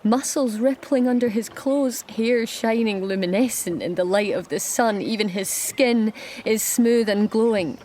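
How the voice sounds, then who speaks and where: An actress playing a wounded, proud and suspicious young goddess, narrating her own story in an intimate, hushed manner in an audio drama. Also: on the high side, unhurried, few pauses, slight background noise, natural voice. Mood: uneasy, shaken. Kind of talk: first-person audio drama narration and dialogue. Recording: music under the voice.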